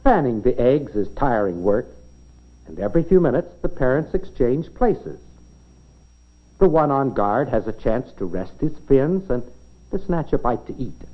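An American man narrates in the style of a 1950s educational nature film. His pitch moves in lively swings as he explains things simply, as if telling a story to children. The sound is slightly muffled, like an old film soundtrack. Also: moderate pace. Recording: dull, band-limited 1950s film soundtrack.

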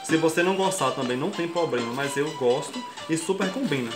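A young man with a Brazilian accent and a slightly high voice talks viewers through a recipe step by step. He sounds chatty and informal, like a home cook showing friends how it's done. The sound bounces around a bare kitchen while music plays underneath. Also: animated, a little fast. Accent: Brazilian Portuguese. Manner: chatty, explains each step and keeps addressing viewers as folks. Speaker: cooking show host. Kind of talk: casual cooking tutorial. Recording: echoey kitchen with background music.

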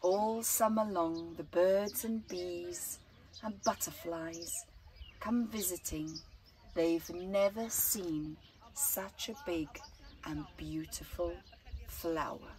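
A middle-aged woman reading a picture book to children expressively, with deliberate dramatic pauses between short phrases. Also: fairly low pitch, a little mellow, slight background noise. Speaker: children's storytime host. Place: outdoors in an open gazebo at a flower farm. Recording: outdoor recording with birdsong behind. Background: birds chirping.

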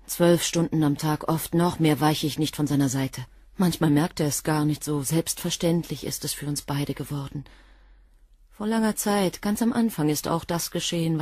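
A low, husky, guarded assistant. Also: female voice, mellow, dry recording, studio quality. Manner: guarded, composed, curt answers. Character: cool, devoted female assistant to a professor. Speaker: witness under questioning. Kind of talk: radio drama acting.

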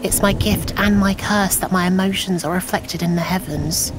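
A woman's voice: fairly low pitch, steady tone, normal speed, over music, close to an everyday voice, rough recording. A woman playing a sad, grieving girl in a fantasy game, plaintive and hesitant. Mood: mournful.

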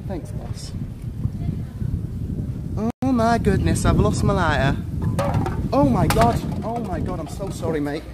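A man's voice: sits high, expressive, measured pace, long pauses. A young man streaming live on a city street, joking and chatting with strangers on a handheld camera. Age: young adult. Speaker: IRL streamer. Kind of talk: casual street livestream banter. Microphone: handheld camera at arm's length. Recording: outdoor street noise.

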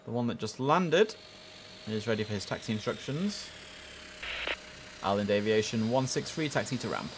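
A young man talking from his desk through a headset, chatty and easygoing with viewers, switching into clipped tower radio phrasing. His voice sits a little low, and there is a steady noise floor behind him. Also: normal speed, long pauses. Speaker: streamer playing air traffic control. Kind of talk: casual gameplay commentary with radio calls. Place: home room at a desk.

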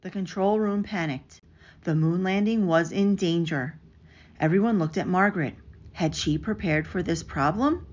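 A woman reads a children's picture book aloud in an expressive, carefully enunciated storytelling manner. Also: on the low side, normal speed. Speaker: storybook reader. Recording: dull lo-fi audio with clear background noise.

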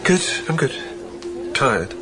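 A man's voice: mid-range voice, sing-song, takes it slowly, background noise. A man playing an evasive, tired married businessman, hesitant and dryly polite, in a radio drama. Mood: awkward, evasive. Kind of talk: naturalistic radio drama acting.

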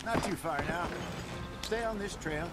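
A man's voice: sits high, unhurried. An actor playing an eloquent, wry older con man with an American accent in a western game. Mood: somber, storytelling. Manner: wordy, theatrical, wry. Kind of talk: cinematic western game acting. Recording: music and wagon sounds behind.

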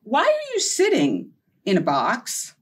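Middle aged lady doing kids storytime, really animated, highish voice, takes it slow. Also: slightly rough. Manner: animated, exaggerated surprise and simple questions for young children. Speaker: children's librarian and storytime host. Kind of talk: children's storytime presentation. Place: small indoor set with felt board and book cart.

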